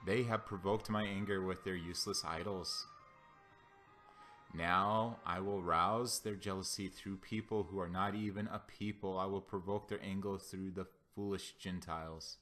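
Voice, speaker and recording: Low-voiced man reading scripture over music. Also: steady tone, moderate pace, pushed and bright. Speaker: Bible reader. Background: background music.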